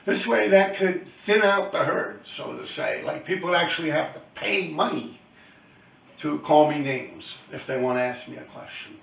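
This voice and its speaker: A man's voice: fairly high pitch, sing-song, moderate pace, vocal fry, a bit bright, echoey room, distant mic. A man, talking bluntly and profanely to his viewers in a rambling monologue. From New York City. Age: 50s. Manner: blunt, profane, talks in loose run-on asides. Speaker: YouTube channel host, graffiti writer.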